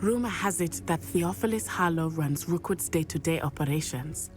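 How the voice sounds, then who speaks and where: A woman's voice: low voice, normal speed, a little mellow, close to an everyday voice. An actress playing an earnest young female student in a video game, from Matabeleland, speaking formally and measuredly. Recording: game audio with faint music.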